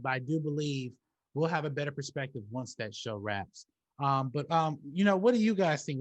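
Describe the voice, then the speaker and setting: A man hosting a casual movie talk show, slangy and emphatic, often stammering and repeating his words as he gives opinions. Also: mid-range voice.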